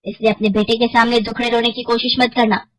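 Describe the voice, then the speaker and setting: A woman voicing a scheming, bullying young village daughter-in-law for a cartoon story, with a sharp manner. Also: a little high, level tone, quick pace, lightly acted, rough recording. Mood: menacing, scornful.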